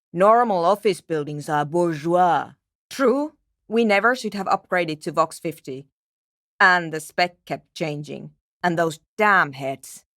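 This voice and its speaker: Precise, expressive young programmer woman. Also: medium pitch, dry recording, clean studio sound. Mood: exasperated, then dry, analytical. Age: young adult. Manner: measured, technical, dryly formal. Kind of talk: video game character voice acting.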